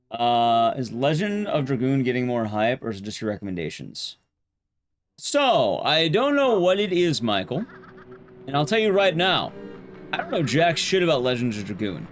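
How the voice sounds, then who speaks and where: A man streaming a game, chatting casually in a rambling, blunt, conversational way with his viewers. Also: mid-range voice. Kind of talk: casual live-stream chat while gaming.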